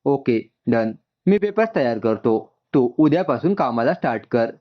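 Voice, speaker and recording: A man's voice: medium pitch, expressive, normal speed, lo-fi sound, close to an everyday voice. A man reading as a firm office manager. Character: brisk male office manager, firm and businesslike.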